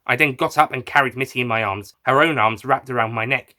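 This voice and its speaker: Male storyteller, mid-pitched and bright, for scripted first-person adventure narration. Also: a little fast. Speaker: narrator and writer of an audio story.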